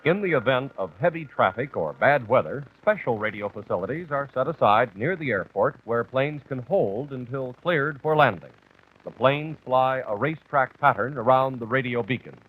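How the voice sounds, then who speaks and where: A man's voice: medium pitch, expressive, normal speed, husky. An American man reading scripted documentary narration on an old, muffled 1960s television soundtrack. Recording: muffled 1964 TV kinescope, narrow band.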